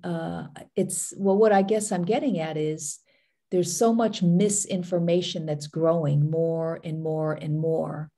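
A woman with a low, gravelly voice shares a long, rambling reflection on a group video call. Her tone is dark and mellow, and she drifts from thought to thought.

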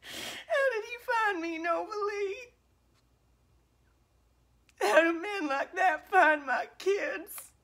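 Woman playing anguished single mother, high voice, dramatic monologue, shaken and guilt-ridden. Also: slow, long pauses, full character voice. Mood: anguished, angry. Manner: hushed and breathy, breaking into anguished outbursts. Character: distraught guilt-ridden single mother recounting an attack on her children.

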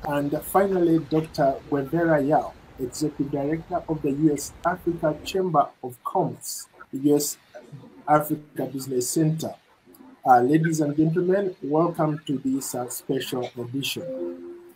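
A middle-aged man hosting a news interview, speaking in a measured way with frequent fillers and restarts. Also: fairly high pitch, darkish tone, slight background noise, rough recording. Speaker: news reporter and interview host. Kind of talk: broadcast interview moderation. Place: indoor studio set.

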